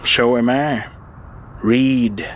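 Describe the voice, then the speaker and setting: A man playing an irascible, desperate old uncle in an audio drama, urgent and halting as he insists on his truth. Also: medium pitch, steady tone, slight background noise. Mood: earnest. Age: older. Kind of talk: dramatized audio fiction acting.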